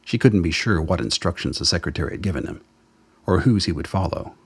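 A man's voice: sits low, lively intonation, a little fast, slightly rough, no echo. A man reading scripted dramatic narration as the narrator of a radio play.

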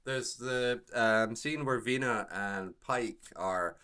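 Man, podcast co-host, bright voice, average pitch, casual, halting phrasing. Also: clear, resonant tone. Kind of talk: casual discussion of a TV episode.

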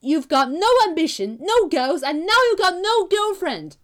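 A woman's voice: very high voice, big pitch swings, moderate pace, a bit bright, heavily acted. A woman playing a fed-up, furious girlfriend in a comic radio play, scolding with indignant emphasis. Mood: scolding, exasperated.

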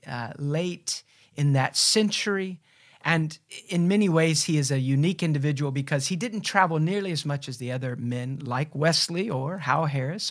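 A man giving an informal spoken introduction as a host, telling church history in an earnest, explanatory way. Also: fairly high pitch.

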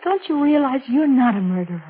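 An actress playing an anxious, earnest young American newlywed wife who pleads and reasons in 1940s radio drama style. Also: female voice, sits high, lively intonation, fairly quick, slightly rough. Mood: anxious, pleading. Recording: tinny, noisy old radio recording.

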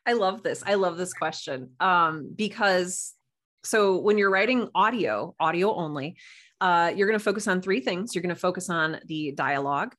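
Female podcast guest, writer type, slightly low register, conversational and keen explainer. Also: normal speed, a bit bright. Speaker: podcast guest, writer and audio drama producer. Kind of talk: casual interview chat.

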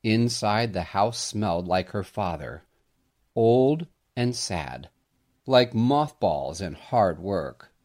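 A man reading a scripted Christmas story aloud in an expressive storytelling manner. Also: on the low side, slow pace. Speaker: storyteller, podcast host.

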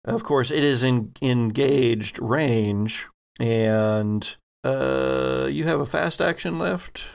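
A man narrates a tabletop roleplaying game as its game master. He speaks slowly with a creaky, gravelly edge, in a middle-of-the-road pitch, building his descriptions in halting pieces.

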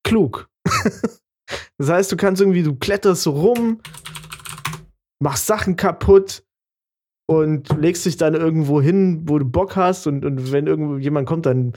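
A man chatting playfully on a podcast, slangy and quick to laugh. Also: fairly high pitch, a little mellow. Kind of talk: casual chat.

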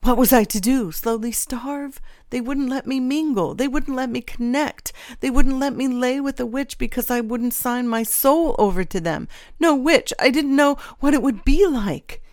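A woman's voice: fairly low pitch, slow pace, few pauses, no echo, studio quality. A woman voicing a craven old male traitor in an audiobook, whining and pleading frantically in a weak voice. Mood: frantic self-justifying. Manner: frantic pleading with repeated phrases.

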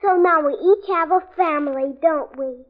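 A female performer's little orphan in a forties radio play, tiny and very high-voiced. The child is blunt, innocent and full of curiosity. The recording is tinny and narrow. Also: moderate pace, natural voice. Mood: curious, innocent. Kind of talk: 1940s American radio drama acting.

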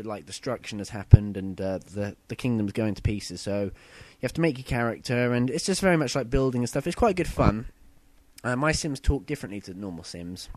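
A young man hosting a casual podcast, a bit husky from a sore throat, chatty and rambling. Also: medium pitch, darkish tone, no room sound. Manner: chatty, loose and rambling, with fillers and self-corrections. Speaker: podcast host. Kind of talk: casual solo podcast chat.

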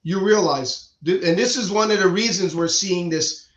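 High-voiced surgeon in an echoey room. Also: male voice, normal speed. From Cuba. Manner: earnest, explanatory, frequent restarts. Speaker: guest, hand surgeon. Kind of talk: conversational interview. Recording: echoey dull lo-fi room sound.

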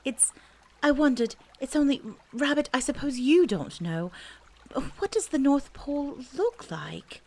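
A woman's voice: high voice, lively intonation, a little slow, mellow, pitched above the performer's own voice. A woman voicing an earnest, curious, kindly little boy in a storybook, with a childlike manner. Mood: shyly curious. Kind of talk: storybook character voice.

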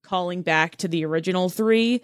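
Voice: medium pitch, moderate pace, clear, resonant tone, dry recording, studio quality. A podcast co-host talking casually about films, piecing thoughts together aloud. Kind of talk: casual movie discussion.

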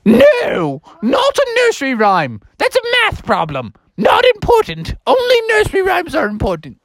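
A woman's voice: high-pitched, huge pitch swings, moderate pace, no room sound, pitched above the performer's own voice, exaggerated voice. A woman voicing a fussy, bossy goose substitute teacher in a storybook tale, emphatic and theatrical. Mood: dismissive, emphatic. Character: fussy, bossy female goose substitute teacher who loves nursery rhymes.

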